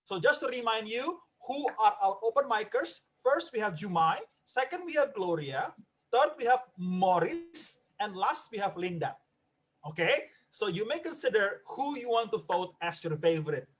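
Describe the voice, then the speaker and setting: A man hosting a live stand-up comedy open mic in an excitable, animated way. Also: high-pitched, normal speed. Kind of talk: live comedy show emceeing.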